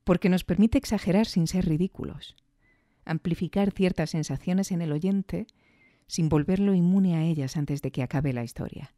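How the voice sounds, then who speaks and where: A woman's voice: lowish voice, moderate pace, warm tone, dry recording, clean studio sound. A woman hosting a podcast, giving reflective commentary with rhetorical questions and emphatic repetition. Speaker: podcast host. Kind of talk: reflective commentary on audio fiction.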